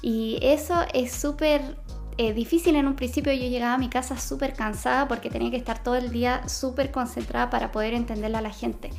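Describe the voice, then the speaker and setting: A woman's voice: fairly high pitch, fairly even intonation, a little fast, gravelly, darkish tone, faint music behind. A woman with a Chilean accent explaining brain science in a casual vlog, chatty and full of fillers and restarts. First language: Spanish. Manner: chatty, many fillers and self-corrections. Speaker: science vlogger.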